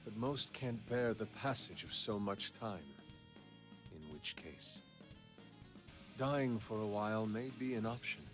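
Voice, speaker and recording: Man playing slow, solemn devoted disciple. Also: fairly low pitch, lightly acted. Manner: formal, solemn, deliberate. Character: earnest, devoted male assassin disciple, intensely solemn. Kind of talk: video game character acting. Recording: studio voice under loud guitar music.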